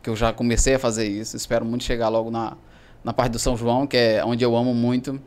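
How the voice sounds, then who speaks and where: A man's voice: mid-range voice, flat delivery, quick pace, a little mellow. A man on a podcast team, chatting casually and joking about his own mistakes. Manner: self-mocking, playful. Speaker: podcast team member, editor.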